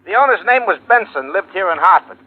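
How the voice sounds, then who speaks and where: A lo-fi old radio recording of a businesslike insurance man. He is chatty, with a fairly high voice that swings up and down a lot. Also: lively intonation, a little fast. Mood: brisk, chatty. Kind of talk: 1950s radio drama acting, phone call.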